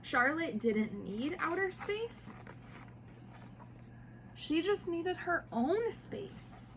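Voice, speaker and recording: High pitched mom reading kids books out loud, playful n expressive, bit of background noise. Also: female voice, very slow, rough recording. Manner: expressive, playful, addressing young listeners. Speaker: mother reading picture books aloud to children. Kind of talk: animated storybook read-aloud.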